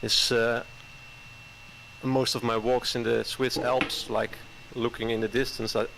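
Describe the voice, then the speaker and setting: Guy around 40, slow halting english, lots of uhs, bit of fry. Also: mid-range voice, little pitch movement, slight background noise, poor audio. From Netherlands. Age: 40s. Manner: hesitant, many pauses and fillers, soft chuckles. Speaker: caller. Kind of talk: casual chat.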